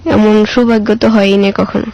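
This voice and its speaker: Creaky-voiced Bangladeshi teenage girl. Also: mid-range voice, level tone, normal speed, warm tone, no room sound, muffled recording. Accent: colloquial Bangladeshi. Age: mid-teens. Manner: simple, colloquial, short answers. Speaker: guest telling own life story. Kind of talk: plain spoken personal storytelling in interview.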